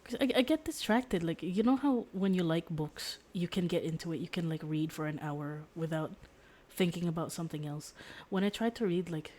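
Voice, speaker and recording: A woman's voice: on the low side, normal speed, few pauses, a little mellow. A woman streamer chatting casually and ramblingly while a game plays. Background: game music.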